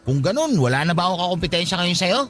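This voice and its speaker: A man's voice: high-pitched, big pitch swings, quick pace, a bit bright. A man playing a short, insecure, lovestruck young worker in a Tagalog radio romance, sulky and guarded in his talk. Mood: hopeful. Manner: sulky, guarded, self-pitying.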